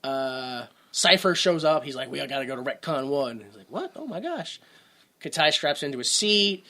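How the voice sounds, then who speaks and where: Bright, sarcastic podcast co-host. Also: male voice, fairly high pitch, normal speed. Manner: sarcastic, animated recapping with mocking quoted voices. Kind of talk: casual movie-review chat.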